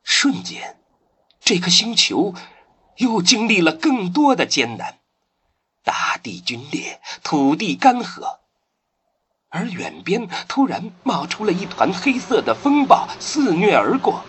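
Slow, hugely expressive male drama narrator. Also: sits high, husky. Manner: theatrical, storytelling. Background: music.

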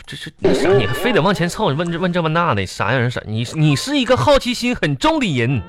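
Whiny, fast-talking Northeastern Chinese husband. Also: male voice, fairly high pitch, big pitch swings. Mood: grumpy. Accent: Northeastern Chinese Mandarin. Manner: whiny, rambling, colloquial exaggeration. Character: grumbling, evasive husband spinning excuses to his wife. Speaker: radio comedy host and performer. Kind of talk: live radio comedy skit acting.

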